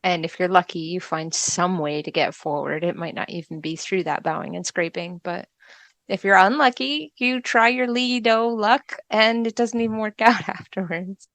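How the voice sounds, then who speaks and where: A woman's voice: mid-range voice, moderate pace, a bit bright, dry recording. A woman speaking casually as a guest in a podcast conversation.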